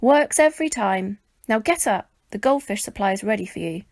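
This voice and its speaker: A woman voicing a brisk, bossy, teasing circus man in a children's storybook. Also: mid-range voice, animated, a little mellow, a little hiss or hum, natural voice.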